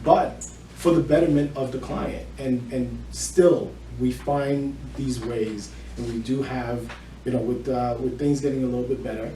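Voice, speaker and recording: A man's voice: medium pitch, steady tone, unhurried, dark tone. A middle-aged man speaking as a mortgage loan officer, explaining his work as an interview guest. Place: indoor room with small audience. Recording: echoey room, distant mic, faint noise.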